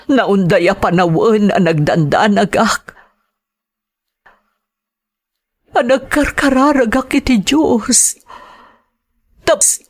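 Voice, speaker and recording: An anguished wife in an Ilocano radio drama, played by an actress. She speaks with an Ilocano accent at a very slow pace, drawing out her pleas with heavy emotion as she longs for her missing husband. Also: medium pitch. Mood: aching, relieved.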